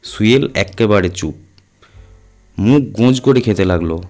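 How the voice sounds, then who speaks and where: Low-voiced man narrating a suspense story. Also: lively intonation, unhurried, darkish tone, faint music behind. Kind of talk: scripted dramatic narration of a suspense tale.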